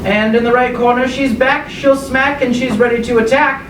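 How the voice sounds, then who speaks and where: A woman's voice: fairly even intonation, natural voice. A woman voicing a bombastic, hyped-up boxing ring announcer in a staged play, drawing out names like a showman. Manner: booming showman drawl, drawn-out names. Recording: echoey room, distant, loud rumble.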